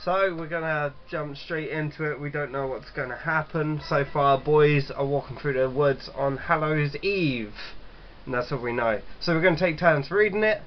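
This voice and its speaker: Guy doing a casual lets play, stumbly reading and chatting, muffled mic w some background noise. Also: a little high. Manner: stumbling, halting when reading aloud. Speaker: gaming channel host. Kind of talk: casual let's-play chat and halting read-aloud of a visual novel.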